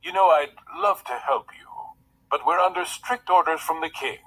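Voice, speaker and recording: A man's voice: a little high, expressive, fairly bright tone, rough recording. Man acting a stiff, formal royal valet. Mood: apologetic. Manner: stiff, deferential, measured.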